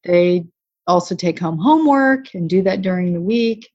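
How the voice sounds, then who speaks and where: A woman's voice: on the low side, expressive, moderate pace, darkish tone. A middle-aged woman chatting in an interview, explaining things with examples. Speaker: guest, co-founder parent of a charity. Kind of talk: casual interview talk. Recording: slightly dull lo-fi recording.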